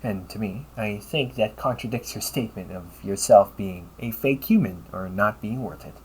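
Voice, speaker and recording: A man playing a polite, caring A.I. companion in an audio roleplay, speaking in measured, formal phrases and often checking with a questioning tag. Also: on the low side, darkish tone, a little hiss or hum, natural voice, low-quality recording. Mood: earnest, reassuring. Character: polite, caring male A.I. companion, gently logical and encouraging. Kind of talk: audio roleplay addressed to the listener.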